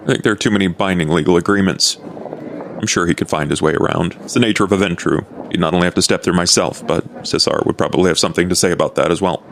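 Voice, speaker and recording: A man voicing a brooding, enigmatic male vampire who speaks in a deliberate, formal, roundabout way in improvised roleplay. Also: deep voice, a bit bright, slight background noise. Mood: brooding, intrigued. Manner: deliberate, formal, talks in circles. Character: brooding, soft-spoken, enigmatic male vampire fixer named Duke.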